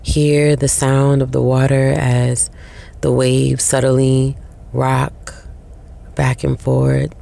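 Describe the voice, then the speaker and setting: Mellow woman guiding meditation, heavily creaky voice. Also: rumbling low, completely flat delivery. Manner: slow, soothing, with long pauses between short phrases. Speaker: meditation guide. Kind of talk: guided meditation narration.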